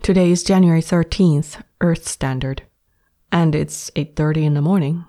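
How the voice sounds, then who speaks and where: A woman's voice: low-pitched, moderate pace, dark tone. A woman voicing a cheerful, bossy young woman who talks sweetly and patronizingly to her new pet. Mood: bright, condescending.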